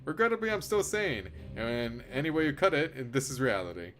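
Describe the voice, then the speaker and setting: A man with an average-pitched voice reads a game's story aloud in an expressive, theatrical way. He slips into casual, sweary commentary as a streamer does. Music plays behind him. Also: fairly quick. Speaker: streamer reading a visual novel aloud. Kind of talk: dramatic read-aloud mixed with casual live reactions.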